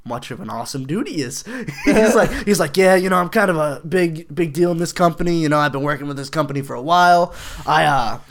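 A man's voice: fairly high pitch, a little fast, fairly bright tone. A young man telling a long story on a podcast, rambling with many fillers, swearing and laughing often. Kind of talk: casual chat.

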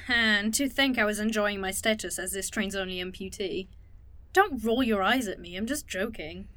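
A woman's voice: medium pitch, normal speed, sharp tone, dry recording, close to an everyday voice. An actress playing a wry, outspoken woman aboard a train in a sci-fi audio drama, speaking casually. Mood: wry disgust.

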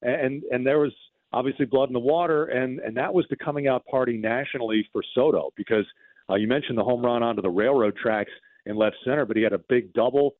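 A man's voice: mid-range voice, fast talker, no room sound. A man speaking as a baseball broadcaster guest, reminiscing conversationally about games over a phone-like connection. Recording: narrow phone-like line.

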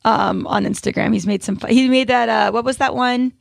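A woman's voice: a little high, fairly even intonation, brisk, creaky, dry recording. A woman in her thirties hosting a podcast, chatting casually and laughing with slangy asides. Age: 30s. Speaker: podcast host. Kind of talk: casual chat.

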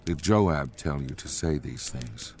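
A man voicing a wise, stern old king in a storybook retelling, speaking slowly and deliberately. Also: rumbling low, animated, darkish tone, breathy edge, voice pushed down, heavily acted. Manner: slow and deliberate.

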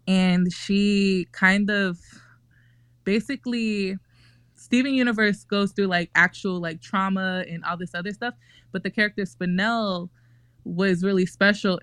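A woman's voice: mid-range voice, fairly bright tone, dry recording. Young woman gushing on a podcast, um-ing and like-ing a lot, dry sound. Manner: chatty, many fillers and restarts. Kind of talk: casual group chat.

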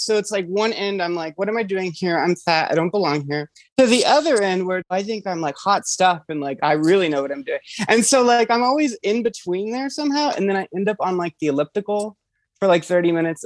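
A woman's voice: lowish voice, expressive, a little fast, no echo. A woman in her thirties asking for advice, hesitant and self-deprecating, laughing often as she talks. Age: 30s. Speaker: caller seeking advice. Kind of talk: casual chat.

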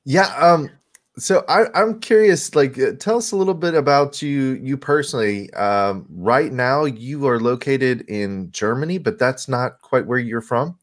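A man's voice: medium pitch, unhurried. A man co-hosting a casual podcast, asking questions in a curious, quizzing way. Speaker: podcast co-host.

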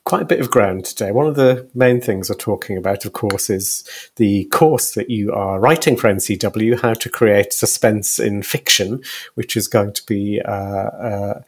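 A man's voice: on the low side, moderate pace, husky. A man hosting a conversational podcast interview, framing his questions in a loose, hesitant way with repeated words.

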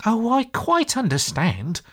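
A man voicing a kindly, brisk and plucky male water rat in a storybook, chatty and reassuring. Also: high voice, big pitch swings, fairly bright tone, pitched above the performer's own voice, exaggerated voice. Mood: gently scolding. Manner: chatty, reassuring, old-fashioned British turns of phrase. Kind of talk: storybook character voice.

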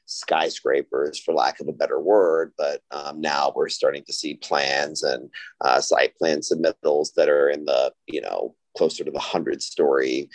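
A man's voice: very deep voice, fairly even intonation, measured pace, no room sound. A man from Texas speaking as a podcast interview guest, in a conversational way with many hesitant asides. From Austin, Texas. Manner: hesitant phrasing, frequent "you know" asides. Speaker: interview guest, real estate company founder.

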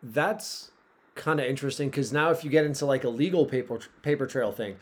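A man's voice: mid-range voice, a little fast. A man from the United States hosting a casual conversation, rambling and swearing casually.

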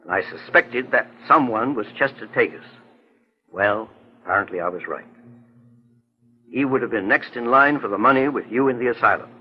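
A man playing a kindly, assured older gentleman detective in a 1940s radio drama. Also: medium pitch, normal speed, pauses often, slightly rough, lightly acted. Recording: dull lo-fi 1940s radio recording.

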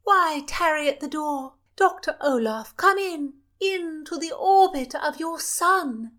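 A woman voicing a haughty, commanding young princess in a storybook reading, high and loud. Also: animated, takes it slowly, full character voice. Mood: imperious. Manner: commanding, theatrical.

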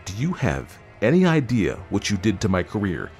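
A man's voice: deep voice, expressive, a little fast, soft background music. A man voicing a domineering, germ-phobic husband who speaks angrily and hits his syllables hard in an audiobook story. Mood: bitter, angry. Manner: shouting, hitting syllables hard.

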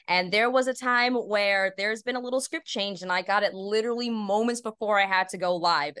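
A woman's voice: mid-range voice, a bit bright, clean tone, dry recording. Earnest, upbeat woman guest, close dry sound. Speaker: podcast guest, PA announcer and voice actor. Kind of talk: conversational interview answers.

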